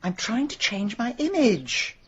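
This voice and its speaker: A brash middle-aged female sleuth in a radio drama, bossy and sharp-tongued. Her pitch leaps about animatedly, and the sound is slightly dulled. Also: big pitch swings, measured pace, dull audio, a little hiss or hum. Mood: defensive, brisk. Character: brash, determined middle-aged PR woman turned amateur sleuth.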